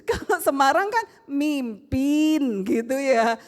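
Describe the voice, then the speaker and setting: A middle-aged woman pastor with a Surabaya Javanese accent, preaching a lively sermon full of rhetorical questions. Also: sits high, slow pace. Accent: Surabaya Javanese Indonesian. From Surabaya, Indonesia. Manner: animated storytelling with rhetorical questions, acts out family dialogue. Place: church sanctuary. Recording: long church-hall reverb.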